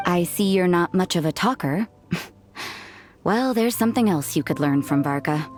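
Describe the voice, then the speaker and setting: A woman's voice: on the low side, expressive, moderate pace, darkish tone, soft background music, close to an everyday voice. A woman acting a cool, cynical, aloof character in a video game, with a dry, detached delivery. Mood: wry.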